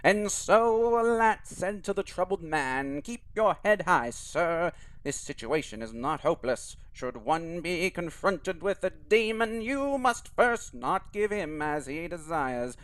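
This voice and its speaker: A man voicing a frail elderly village priest, speaking in a slow, drawn-out preaching cadence. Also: a little high, expressive, a bit bright, no echo, pitched above the performer's own voice.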